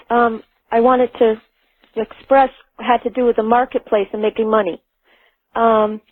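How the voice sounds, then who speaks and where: A woman calling in to a radio show, putting her questions in a hesitant, deliberate way. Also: on the high side, dry recording. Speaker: caller. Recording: tinny telephone line.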